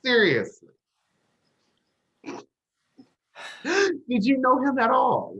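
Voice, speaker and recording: Man playing a bitter, sarcastic young man, animated pitch. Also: normal speed, highs cut off, close to an everyday voice. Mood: scornful. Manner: sarcastic, confrontational. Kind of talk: dramatic dialogue acting.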